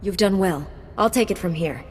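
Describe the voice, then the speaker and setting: A woman playing a calm, resolute Jedi knight in a video game, speaking with earnest firmness. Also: fairly low pitch, moderate pace, natural voice. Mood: composed. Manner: composed, earnest, firm. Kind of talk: scripted game dialogue. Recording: music behind the voice.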